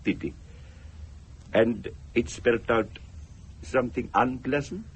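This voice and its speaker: An actor playing a mysterious male fortune teller in a radio drama, formal, measured and probing, with a husky voice. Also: mid-range voice, heavily acted. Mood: polite, ominous.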